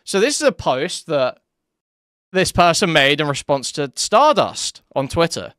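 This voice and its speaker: A man's voice: a little high, sing-song, unhurried, a bit bright, smooth, no echo, studio quality. A young man doing online drama commentary, mocking and crude, chuckling at his own jabs. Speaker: commentary YouTuber.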